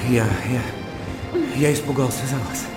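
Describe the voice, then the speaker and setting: A man acting an awkward, self-important, timid gentleman in a radio drama, speaking with hesitant restraint. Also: mid-range voice, steady tone, normal speed, close to an everyday voice. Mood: flustered. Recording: echoey studio reverb, music and rain behind.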